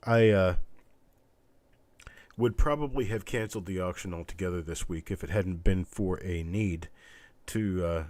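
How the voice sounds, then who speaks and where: Deep, scratchy, congested male livestream host. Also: a little slow, a little mellow, no room sound. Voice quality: congested, stuffed-up nose. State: recovering from illness, weakened voice. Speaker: host of a live online coin auction. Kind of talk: casual livestream chat with viewers.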